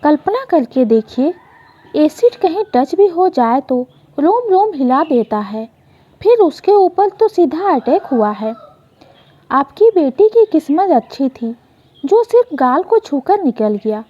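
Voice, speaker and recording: A woman's voice: high-pitched, big pitch swings, unhurried, lo-fi sound. A woman voicing a grave, formal hospital doctor in a dramatic story, explaining and reassuring.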